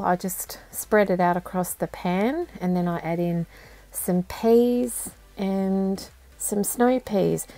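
Casting: Australian woman, 50s, home-cook host, low-ish voice, unhurried delivery. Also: creaky. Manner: relaxed, homely and unhurried, plain step-by-step instructions. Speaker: cooking channel host. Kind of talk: home cooking tutorial. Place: indoors at home.

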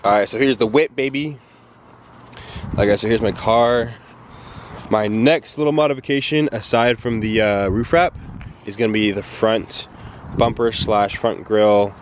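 A man's voice: lowish voice, steady tone, a little slow, slight background noise. A young man in his twenties talking casually to a handheld camera in a laid-back, rambling way, at home and in his car. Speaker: fitness vlogger. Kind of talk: casual vlog narration. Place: apartment kitchen and parked car. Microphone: handheld camera held close.

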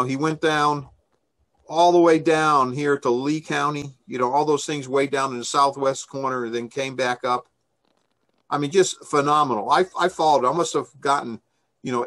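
Older male presenter, conversational and enthusiastic, mid-pitched voice, informal online briefing. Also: fairly bright tone. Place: small room indoors, on a video call. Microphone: video call microphone.

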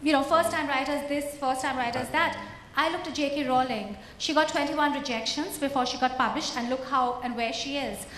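A woman's voice: sits high, normal speed, hardly any pauses, fairly bright tone. A woman author on a conference panel, emphatic and motivational, speaking into a handheld mic. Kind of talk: panel discussion. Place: conference stage before an audience. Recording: echoey hall with faint noise.

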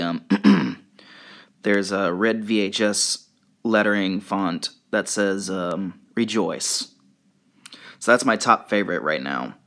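Casual vlogger: male, fairly low voice, unscripted and slightly halting, presenting from behind the camera. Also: a bit bright. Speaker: video creator showing fan merchandise. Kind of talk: casual show-and-tell vlog.

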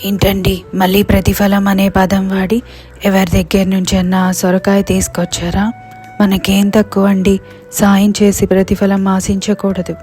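A sensible, principled old village grandmother played by a woman speaks in a very flat, mid-pitched voice. It is cartoon story dialogue with faint music behind it. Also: unhurried, natural voice. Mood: reproving.

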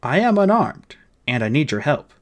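A man's voice: fairly high pitch, very animated, moderate pace, pitched above the performer's own voice. A man voicing a clever human boy in an audiobook, speaking formally and earnestly with urgent persuasion. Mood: careful.

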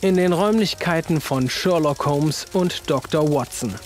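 A man acts as a formal announcer for a detective radio play. His voice is fairly high, with faint music behind it. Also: normal speed, lightly acted. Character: announcer setting place and date of scene.

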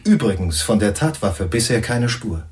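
An actor playing a police sergeant in a radio crime play, dry, clipped and factual. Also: male voice, lowish voice, sing-song, normal speed, a little mellow. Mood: matter-of-fact. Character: matter-of-fact male police sergeant reporting findings. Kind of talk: German radio crime drama acting.

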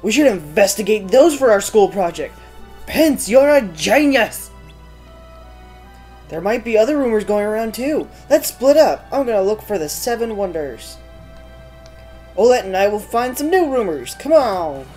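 A woman gamer gives casual let's play commentary and reads out on-screen dialogue. Her pitch is average, with faint game music behind her. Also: sing-song, a little slow, a little mellow.

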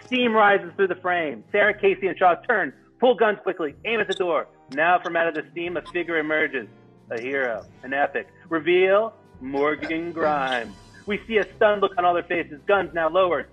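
High, husky male stage-direction reader. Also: moderate pace, lo-fi sound, faint music behind. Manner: informal, stumbles and ad-libs. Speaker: narrator reading stage directions. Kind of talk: scripted narration at a comedy table read.

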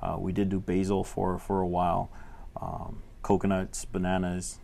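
Low, gravelly young man, halting. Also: monotone, dark tone. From Tonga. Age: young adult. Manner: hesitant, many fillers and restarts. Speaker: legislator guest. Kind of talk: interview answers.

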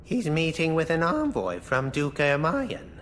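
A bright-voiced, animated military advisor. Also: male voice, on the high side, measured pace, slight background noise, heavily acted. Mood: concerned. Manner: formal, animated, cautioning. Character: wary, dutiful military advisor to a lord. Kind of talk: video game cutscene acting. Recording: hall reverb, faint music.

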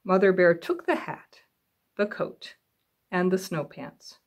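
A woman's voice: lowish voice, very animated, slow. A middle-aged woman reading a picture book aloud to young children at home, expressively and with questions for the listener. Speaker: library director reading storytime. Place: small room at home.

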